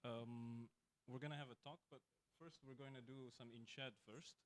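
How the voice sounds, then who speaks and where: A man's voice: medium pitch, fairly even intonation. Guy hosting an event, mid voice, lots of ums, a bit apologetic and stop-start. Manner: halting and apologetic, with many fillers. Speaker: event organizer and host. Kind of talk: casual introduction at a live event.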